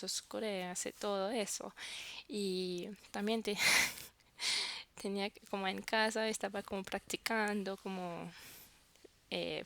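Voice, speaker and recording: A woman's voice: medium pitch, vocal fry, fairly bright tone. A woman whose first language is French, vlogging casually to camera and searching for words as she talks.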